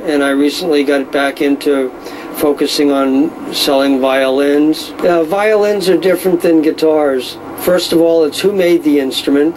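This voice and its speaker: A middle-aged man who deals in violins, speaking in a measured, knowledgeable way with frequent fillers. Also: fairly high pitch, darkish tone, a little hiss or hum, low-quality recording. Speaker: violin dealer. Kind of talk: casual explanatory talk.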